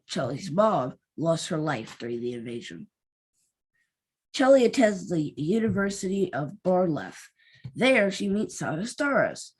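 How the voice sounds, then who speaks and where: A woman's voice: on the low side, breathy edge. A woman co-hosting a podcast, reading a story recap evenly and adding playful asides. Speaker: podcast co-host. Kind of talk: casual podcast chat and read recap.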